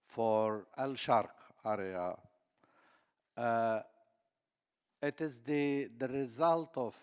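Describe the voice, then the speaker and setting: A man's voice: medium pitch, clear, resonant tone. A man from southeast Turkey, speaking on a conference panel with many fillers and restarts. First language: Kurdish. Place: conference hall. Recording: echoey conference hall.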